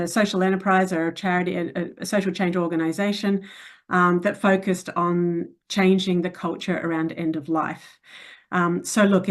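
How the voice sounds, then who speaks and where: Middle-aged woman from New Zealand, slightly low voice, frank and reflective, hesitant fillers. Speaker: interview guest. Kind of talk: conversational interview answers.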